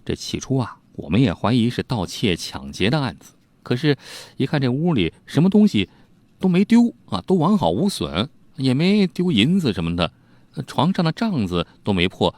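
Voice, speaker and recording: A man's voice: medium pitch, big pitch swings, normal speed, a little mellow, no room sound, pitched above the performer's own voice, close to an everyday voice. A man voicing a deferential yamen clerk in a storytelling narration, explaining things in a chatty, slightly hesitant way. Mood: emphatic, puzzled. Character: male yamen clerk, deferential, puzzled, explaining an old case.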